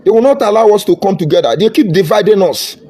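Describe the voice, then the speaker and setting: A man's voice: sits high, animated, fast, mellow, husky. A Nigerian man giving a fervent, combative political monologue, slipping into Pidgin phrases. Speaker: political commentator.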